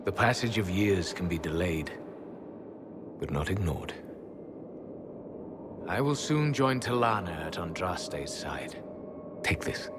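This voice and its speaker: Tired old elf hunter mage from a fantasy game, really low voice, formal and grave, noisy background. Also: male voice, expressive, slow, pauses often. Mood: weary, resigned. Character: weary, solemn old elven hunter-mage, once an Inquisitor. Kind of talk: dramatic video game character acting.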